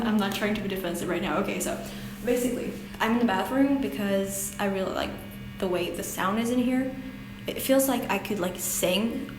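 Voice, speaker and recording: A young woman whose first language is Hungarian, chatting casually to camera in a self-deprecating, rambling way with lots of laughter, recorded in a small bathroom. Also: mid-range voice. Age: young adult. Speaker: language-learning vlogger. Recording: echoey bathroom with noisy background.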